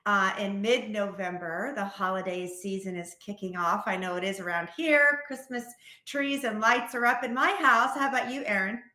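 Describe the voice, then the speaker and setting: A woman's voice: mid-range voice, bright, forceful tone. An American woman hosting a casual podcast, chatty and effusive, recorded with a roomy echo. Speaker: podcast host.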